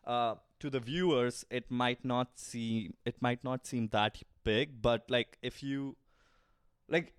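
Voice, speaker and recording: A young man with an Indian English accent, co-hosting a casual podcast chat, fumbling and restarting his sentences often with quick laughs. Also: mid-range voice, fairly even intonation, clear, resonant tone, dry recording, clean studio sound. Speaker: podcast co-host.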